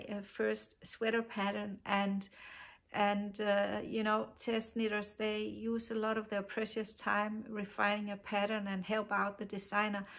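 A middle-aged woman with a Danish accent, hosting her knitting podcast from a sofa at home, talking slowly with frequent pauses and fillers. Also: mid-range voice, vocal fry, a bit bright. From Denmark. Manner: slow, thoughtful, many pauses and filler sounds. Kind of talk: casual chat to camera. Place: living room, seated on a sofa.

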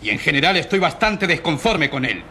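A man's voice: fairly high pitch, sing-song, fairly quick, background noise. An actor in a radio drama playing an opinionated, easily disgusted man in the street. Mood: grumbling. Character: opinionated, disgusted male passer-by. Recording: street crowd behind voice.

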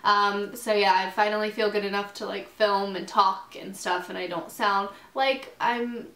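A woman's voice: mid-range voice, fairly even intonation, unhurried, bright, forceful tone, echoey room, poor audio. A young woman vlogging to camera from her bedroom, chatty and upbeat, full of fillers, still getting over a cold. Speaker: fashion vlogger. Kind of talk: casual haul vlog to camera. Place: small bedroom, indoors.

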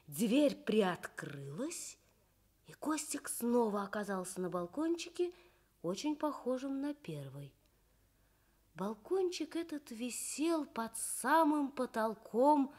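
A woman reading a children's story expressively for 1960s Soviet radio, with measured storytelling. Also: a little high.

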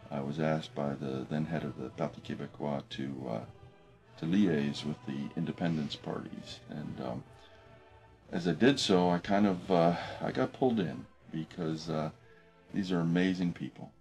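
A man in late middle age, a business professor who grew up speaking French, talking thoughtfully in a documentary interview in his office, with many pauses and restarts. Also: rumbling low, darkish tone, soft background music. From United States. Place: office with desk and window.

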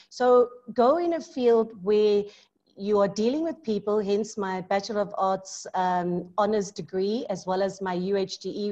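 A middle-aged woman with a South African accent speaks in a lively, emphatic, outgoing way. Her voice sits in a middle pitch. It comes through a laptop microphone on a video call, with slightly muffled, dull sound. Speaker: maths tutor and business owner. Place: home study with bookshelves. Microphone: laptop mic on video call.

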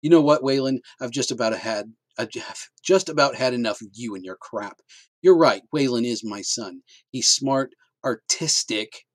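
A man's voice: medium pitch, lively intonation, normal speed, husky, no room sound. A man reading aloud and voicing a defiant, protective middle-aged mother who speaks indignantly and firmly. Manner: indignant and firm, raised voice.

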